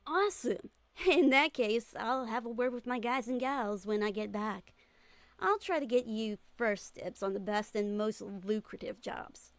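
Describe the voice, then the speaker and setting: A woman's voice: fairly high pitch, measured pace. A woman voicing a confident, easygoing young female mercenary in game dialogue, with breezy, informal persuasion. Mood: upbeat, businesslike. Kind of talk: fan-dub game character dialogue. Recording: music behind the voice.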